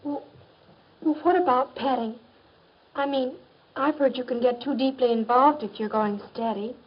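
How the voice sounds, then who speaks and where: An American woman acting as a hesitant, questioning teenage high-school girl in a 1950s educational film. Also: moderate pace, breathy edge. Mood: doubtful. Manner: questioning, uncertain. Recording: dull, muffled 1950s film soundtrack.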